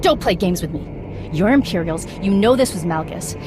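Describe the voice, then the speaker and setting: An actress playing a defiant female Jedi who speaks in a bitter, accusing way, with loud game music behind. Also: fairly low pitch, expressive, moderate pace, close to an everyday voice. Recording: game soundtrack under voice.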